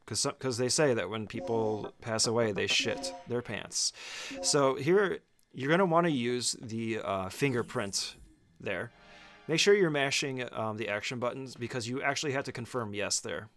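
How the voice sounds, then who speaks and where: A man's voice: mid-range voice, expressive, normal speed, a bit bright. A man doing casual, conversational speedrun tutorial commentary, explaining each step in an informal way.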